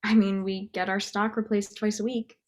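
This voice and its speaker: A woman's voice: mid-range voice, level tone, moderate pace, a little mellow, close to an everyday voice. A young woman playing a meek, unsure nineteen-year-old cashier, hesitant and apologetic, in an audio drama. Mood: flat, deferring. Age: young adult.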